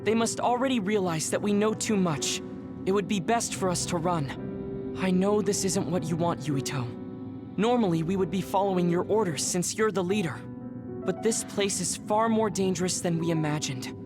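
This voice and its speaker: A man voicing a composed, rational young squad mate in a video game, speaking in a measured, formal way. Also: high voice, lightly acted. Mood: calm, firm. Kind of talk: English game voice acting. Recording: music under the voice.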